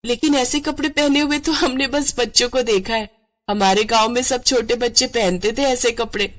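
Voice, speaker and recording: A woman's voice: steady tone, normal speed, a bit bright, faint music behind, natural voice. A woman voicing a demure, polite young village daughter-in-law in a cartoon story, respectful and naive. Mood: naive surprise. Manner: respectful, naive, higher than narration.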